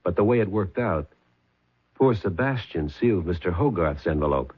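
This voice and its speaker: A mild, husky old-time detective. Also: male voice, sits low, expressive, moderate pace. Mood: firm. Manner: mild, hesitant, with many uh's. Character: calm, dogged male police detective. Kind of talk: 1970s radio drama acting. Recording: phone-like old radio broadcast.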